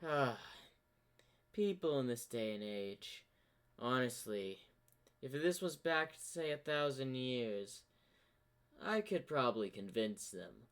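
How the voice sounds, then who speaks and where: A man's voice: medium pitch, animated, takes it slowly, pauses often, fairly bright tone, clean tone. A man voicing an ancient corrupted zebra spirit from a fan-made fantasy cartoon, smug and sly, with a theatrical, teasing drawl. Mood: sly, persuasive.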